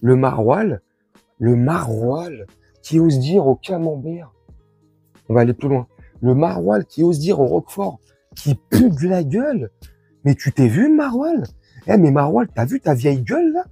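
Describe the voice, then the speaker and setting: A man from France with a husky voice, giving an informal, crude and sarcastic opinion rant to his followers as an online commentator. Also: fairly high pitch, big pitch swings, normal speed, dark tone, no room sound, faint music behind. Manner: crude, slangy, sarcastic, indignant.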